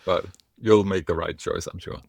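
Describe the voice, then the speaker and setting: A man from Denmark, a former monk, telling his life story as a podcast guest in a reflective, wry way with lively asides. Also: low voice, moderate pace, creaky, dry recording. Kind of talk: casual podcast conversation.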